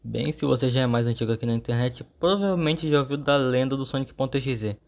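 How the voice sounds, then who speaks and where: A man's voice: medium pitch, brisk, vocal fry, a little mellow. A man with a Brazilian accent telling a story as a channel host, in an informal scripted narration.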